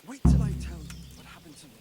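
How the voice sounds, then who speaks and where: An actor playing a chatty, excitable medieval townsman spinning an anecdote as background dialogue in a video game. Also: fast talker, music in the background, natural voice. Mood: excited storytelling. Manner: animated anecdote telling.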